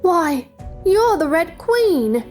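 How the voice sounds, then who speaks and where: A woman acts out an earnest young girl in a storybook play. The voice is very high, curious and well-mannered, full of wonder. Faint music plays behind it. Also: very animated, drawn-out words, a little mellow, exaggerated voice. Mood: curious, polite. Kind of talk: theatrical dramatized reading.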